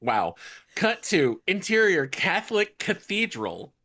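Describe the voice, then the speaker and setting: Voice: medium pitch, very animated, slow pace, pushed and bright. A co-host reading a script's stage directions aloud and breaking off with sarcastic asides. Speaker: table-read narrator and co-host.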